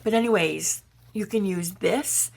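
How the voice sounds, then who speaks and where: A woman from Georgia, hoarse and raspy from pollen, chatting her way through a crafting tutorial as a channel host. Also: mid-range voice, a little slow, darkish tone. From Georgia, USA. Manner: chatty, meandering, explaining while crafting.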